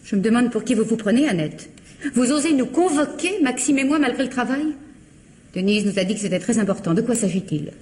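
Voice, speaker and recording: Actress as a haughty, domineering sister-in-law. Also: female voice, on the high side, animated, fairly quick, close to an everyday voice. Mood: haughty, indignant. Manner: indignant, imperious, theatrical. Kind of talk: French radio mystery drama acting.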